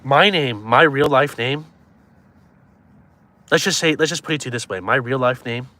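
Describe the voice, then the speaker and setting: A man's voice: mid-range voice, normal speed, bright, forceful tone. A man in his twenties chatting casually on a live stream, joking and teasing viewers as he reads their comments. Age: 20s.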